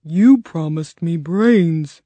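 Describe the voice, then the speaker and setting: A woman voicing an earnest, plain-spoken, reproachful male straw man in a storybook, measured and emphatic. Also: on the low side, warm tone, no room sound. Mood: insistent. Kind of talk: storybook character voice.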